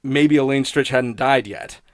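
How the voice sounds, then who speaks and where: A man's voice: medium pitch, measured pace, no echo, clean studio sound. A man giving a casual, rambling spoken review in a blunt, sweary, grumbling manner. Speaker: host of a TV criticism show.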